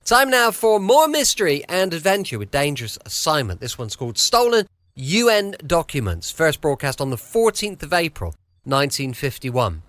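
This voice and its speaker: A man's voice: a little high, very animated, normal speed, a bit bright, no room sound. A British man hosting a podcast with a chatty, reassuring, rambling introduction. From southwest of the United Kingdom. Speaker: podcast host. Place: at home, dry close recording.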